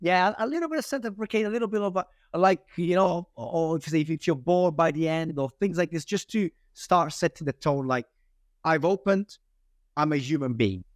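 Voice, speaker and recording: A man with an Italian accent, a native Italian speaker, explaining sales calls as a guest in a casual interview, animated and quick with many restarts. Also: a little high, no room sound, clean studio sound. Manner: animated, talks fast with frequent restarts.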